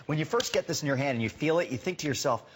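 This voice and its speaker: A man's voice: fairly high pitch, expressive, racing, heavy background noise. A man giving a live home shopping demonstration as a product expert, explaining conversationally and emphatically with repeated words for stress.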